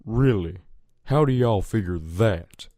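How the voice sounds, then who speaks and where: A man's voice: lowish voice, lively intonation, slow pace, warm tone, dry recording, studio quality. A man voicing an easygoing but determined young farm stallion, drawling folksily in a Southern US accent in a fan audio story. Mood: puzzled.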